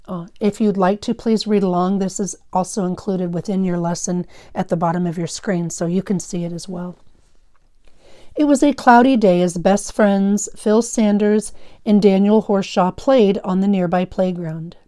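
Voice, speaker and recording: A woman's voice: medium pitch, fairly even intonation, normal speed, mellow. A woman teaching fifth grade, explaining instructively and reading a story aloud to pupils.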